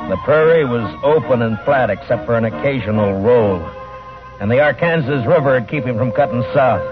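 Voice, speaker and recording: An actor playing a stern, world-weary marshal in a 1950s radio western, speaking in a terse, restrained way. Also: male voice, mid-range voice, expressive, moderate pace, over music, natural voice. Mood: grim, steady. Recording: lo-fi vintage radio broadcast, tinny.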